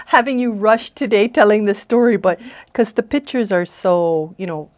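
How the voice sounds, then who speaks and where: Woman hosting a conversational interview, mid pitch. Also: normal speed. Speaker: interviewer and host.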